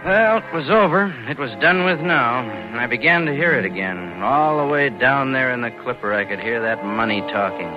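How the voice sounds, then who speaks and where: A man's voice: mid-range voice, expressive, moderate pace, hardly any pauses, natural voice. An American actor playing a cold, scheming embezzler in his thirties, telling his crime in brooding, slangy 1940s radio noir style. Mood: gloating, then uneasy. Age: 30s. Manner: hard-boiled, slangy, brooding. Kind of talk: 1940s radio noir acting, first-person narration. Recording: muffled old radio broadcast, music behind.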